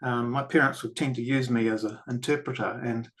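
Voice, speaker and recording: An older man with a New Zealand accent talks as a podcast guest. He tells his stories in an unhurried, reflective way. His voice sits at an ordinary pitch for a man. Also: fairly even intonation, a bit bright. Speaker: guest filmmaker and author. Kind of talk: podcast interview.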